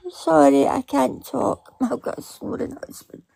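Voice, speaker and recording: Hoarse Scottish woman, very slow and gravelly. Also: sits high, steady tone, mellow, a little hiss or hum. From Scotland. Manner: slow, laughing, apologetic. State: lost her voice, hoarse. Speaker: guest caller. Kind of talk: casual chat.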